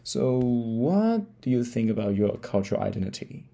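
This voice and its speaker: Man, podcast host, creaky voice, dark tone, halting delivery, repeated words, interview style. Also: mid-range voice, very animated. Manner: halting, stumbling over words and repeating phrases. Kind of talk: interview, reading a guest introduction then asking questions.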